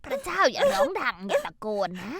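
A woman's voice: medium pitch, sing-song, slow, fairly bright tone. An actress playing an older mother-in-law who grumbles sarcastically in a dubbed drama. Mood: impatient coaxing.

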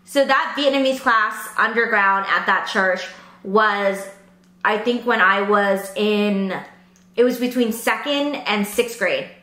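A woman's voice: mid-range voice, slow, bright, forceful tone, roomy sound. A young woman from Pennsylvania casually telling stories at the table during a food video, full of fillers like "like" and "um". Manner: chatty storytelling with many fillers. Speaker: mukbang host. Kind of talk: casual chat while eating. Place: bright room indoors at a table.

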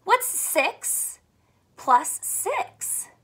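A young woman teacher doing a read-aloud math lesson for children, animated and full of questions, speaking clearly for kids. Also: very high voice, very slow. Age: young adult. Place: small furnished room at home, indoors.

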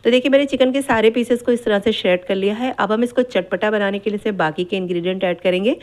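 A woman's voice: medium pitch, fast. Patient woman narrating a home recipe. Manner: patient, repetitive, instructive. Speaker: cooking channel host. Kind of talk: step-by-step recipe voice-over. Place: home kitchen.

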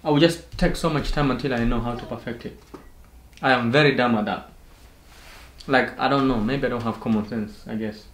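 A man's voice: medium pitch, brisk, a bit bright. A young man hosting a casual sibling vlog in a bedroom, teasing and brash, filmed by a camera a few feet away. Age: young adult. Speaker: vlogger, host of the channel. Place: bedroom, indoors. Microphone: camera on a tripod, a few feet away. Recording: echoey bedroom, faint hiss.

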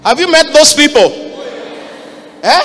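A man in his thirties with a Kenyan accent, preaching a fiery sermon into a handheld mic in a church hall, shouting for emphasis. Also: very high voice, very animated, unhurried, faint music behind. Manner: fiery, shouting emphasis, mixes Swahili quotes.

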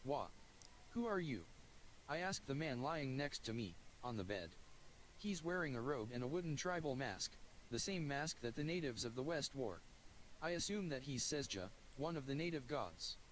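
Flat synthetic male narrator. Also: medium pitch, normal speed, slight background noise, low-quality recording. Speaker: visual novel narrator. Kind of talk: text-to-speech game narration.

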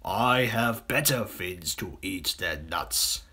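Storybook villain: huge boastful crocodile, slow and gloating, breathy hissed whisper, greedy and sly. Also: male voice, low-pitched, animated, sharp tone, exaggerated voice. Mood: menacing, sly. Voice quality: hissing, breathy half-whisper with drawn-out words. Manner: slow, gloating, savouring menace.

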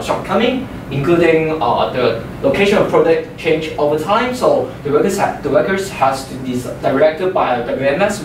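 A young man, a native Chinese speaker, opening a class project presentation, hesitant with many fillers. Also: a little high. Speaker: student presenter. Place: classroom with a projector screen, indoors. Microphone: far room microphone. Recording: echoey room from far off, noisy.

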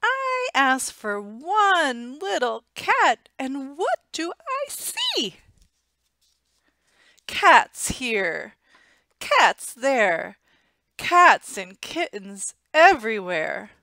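A woman's voice: high-pitched, wildly swooping pitch, very slow, pushed and bright, no room sound, studio quality, voice pushed up, heavily acted. Woman reading as an emphatic old lady, drawn out. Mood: exasperated, astonished. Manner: exclaiming, emphatic. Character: kindly very old woman in a picture book.

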